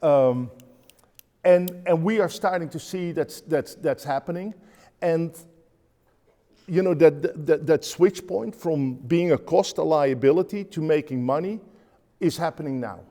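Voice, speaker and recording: A man's voice: on the high side, measured pace, mellow, long reverb tail. A man from the Netherlands with a Dutch accent, speaking on a conference panel, hesitant with many fillers and restarts.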